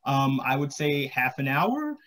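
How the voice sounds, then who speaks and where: A man's voice: mid-range voice, very animated, measured pace, fairly bright tone. A man in his thirties giving casual advice as a podcast creator on a panel, over a video call from a room at home. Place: small home room, indoors.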